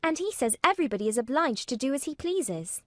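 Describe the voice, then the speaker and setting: A blunt, self-assured young girl, as a woman reads her, her high voice clipped and matter-of-fact. The pitch swings about freely. The recording is close and dry. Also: very animated, brisk, a bit bright, dry recording.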